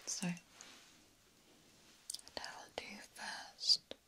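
A woman playing a vain, menacing yet polite vampire countess in a whispered ASMR roleplay, speaking formally and deliberately. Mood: attentive, soothing. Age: young adult. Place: small dark indoor set, close to camera. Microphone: close ASMR microphone.

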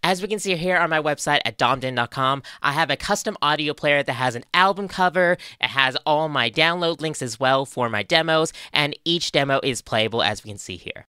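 A woman's voice: low voice, lively intonation, fairly quick, hardly any pauses, bright, forceful tone, smooth, no echo. A young adult woman with an Australian accent presenting a scripted tutorial into a close studio mic. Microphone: close studio mic on boom arm.

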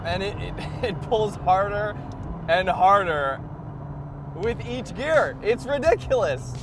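A young man vlogging from the driver's seat of a moving sports car, talking excitedly and laughing between lines. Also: high-pitched, slow, noisy background. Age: young adult. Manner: excitable, laughs often, says "like" and "ridiculous". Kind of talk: casual car review.

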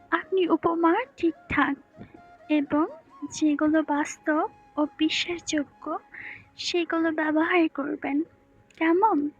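A woman's voice: sits high, dull audio. A woman playing a blunt, bossy young newlywed wife in an audio romance story, with a brusque, scolding way of talking. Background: music.

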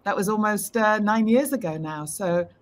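A fluent, upbeat British woman in her fifties talks over a video call from a room at home. Her pitch sits in the middle range and she speaks with easy confidence, now and then poking fun at herself. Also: moderate pace. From United Kingdom. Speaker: interview guest, tech executive. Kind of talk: remote interview answers.

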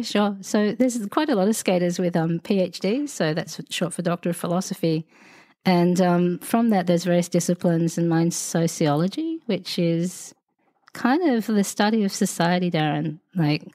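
An Australian woman in her forties talks through a relaxed interview in a mellow, low-ish voice, rambling and full of asides. The sound is dry and close, with no room echo. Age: 40s. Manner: chatty and rambling, many fillers and asides, laughs often. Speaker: podcast guest, sociologist. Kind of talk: casual conversational interview.

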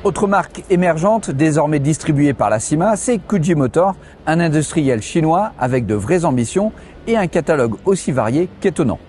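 A middle-aged man, a motorcycle journalist, walking a trade show and explaining the bikes in a lively way. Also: fairly high pitch, moderate pace. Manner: lively, explanatory, tosses in fillers. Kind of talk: trade show walkthrough and interviews. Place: busy indoor exhibition hall. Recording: background music.

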